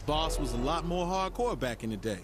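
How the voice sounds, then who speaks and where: A man's voice: a little high, animated, brisk, noisy background, natural voice. A man playing a cocky, aggrieved gangster turned celebrity in a video game audio log, boastful and griping. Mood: admiring, brash.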